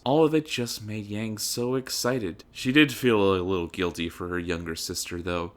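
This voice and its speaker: A man's voice: lowish voice, fairly bright tone. A man narrating a comedic dramatic reading in a theatrical, emphatic, mock-serious manner.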